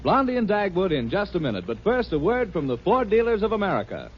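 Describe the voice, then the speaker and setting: Loud music plays behind a muffled old broadcast. A male announcer projects in a high, animated voice with showy, folksy 1950s radio delivery. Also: quick pace.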